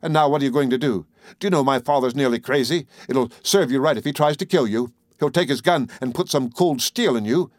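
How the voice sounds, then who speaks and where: A man voicing a furious, imperious young woman who scolds with sharp, scornful indignation in a storybook reading. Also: mid-range voice, a little fast, no echo, studio quality, lightly acted. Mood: furious, accusing.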